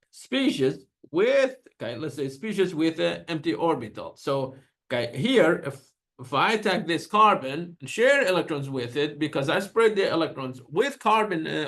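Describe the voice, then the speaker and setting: A man's voice: on the high side, fairly bright tone. A man whose first language is Persian, giving a university chemistry lecture in a chatty way, with many fillers and small grammar slips.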